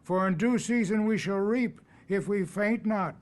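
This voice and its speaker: An actor playing an earnest, folksy country preacher who quotes scripture in a radio drama. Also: male voice, sits high, measured pace. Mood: kindly, earnest. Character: earnest country preacher rallying his congregation to help neighbors. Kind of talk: radio drama acting, sermon.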